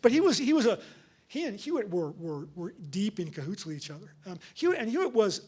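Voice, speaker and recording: High-voiced man fielding lecture questions. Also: big pitch swings, brisk, darkish tone, breathy edge. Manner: hesitant, many fillers and restarts. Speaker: guest lecturer and author. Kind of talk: public lecture and Q&A.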